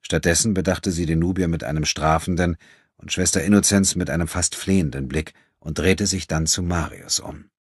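A man narrates a novel for an audiobook. His voice is deep and husky, with a very dry sound free of room echo. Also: moderate pace. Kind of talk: scripted literary narration.

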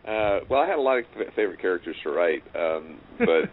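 A man's voice: fairly low pitch, sing-song, fairly quick. A middle-aged man reminiscing casually as an interview guest, often chuckling and repeating phrases for emphasis. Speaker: interview guest, audio drama writer and director. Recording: tinny phone-like line, clear noise.

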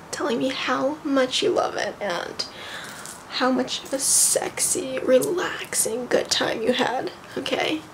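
Young woman, ASMR host persona: breathy whisper, unhurried, girly and self-admiring. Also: high-pitched, low-quality recording. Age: young adult. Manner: breathy, slow, vain, girly intimacy. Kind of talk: whispered ASMR role-play. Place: small bedroom, indoors.

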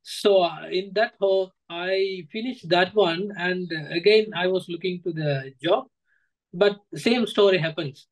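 Male interview guest, thirties, Sri Lankan accent, slightly high voice, hesitant delivery over a video call. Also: measured pace, low-quality recording. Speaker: guest, former coaching student. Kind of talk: interview answers, personal account. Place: indoor room, on a video call.

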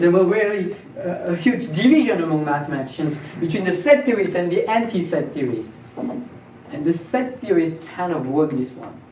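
A young man with a slightly high voice lectures informally, posing questions and checking in with his listeners. He is picked up from a distance in a small seminar room, with strong echo. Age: young adult. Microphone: far camera. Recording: distant roomy mic, dull, faint noise.

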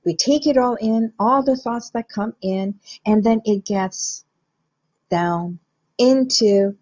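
A middle-aged woman from Iran, a hypnotherapist, explaining the mind to viewers in a slow, measured, guiding way. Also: fairly high pitch, a little mellow, breathy edge, muffled recording. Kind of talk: teaching talk to camera.

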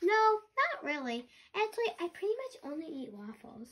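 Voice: very high-pitched, very animated, moderate pace, fairly bright tone, slight background noise, pitched above the performer's own voice, heavily acted. A child voicing a cheerful, silly waffle-loving narwhal in a storybook, playful and exclaiming. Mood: playful, stubborn.

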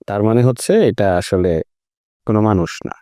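A man's voice: fairly low pitch, fairly even intonation, normal speed, warm tone, dry recording. Bangladeshi man telling stories, close dry sound. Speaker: participant in a group chat. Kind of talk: casual anecdotal storytelling.

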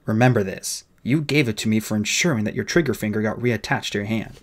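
A man's voice: fairly low pitch, fast talker, lightly acted. A man voicing a clever human boy in an audiobook, speaking formally and earnestly with urgent persuasion. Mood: pleading.

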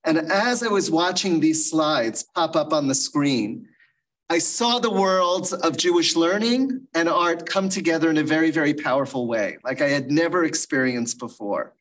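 A middle-aged American man speaks to a small group in a big meeting hall, his voice sitting a little high. He tells his story in a relaxed, conversational way, full of ums and restarts, and the room mic sits some distance away. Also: normal speed. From United States. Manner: warm conversational storytelling with many fillers. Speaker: guest educator. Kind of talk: informal lecture.

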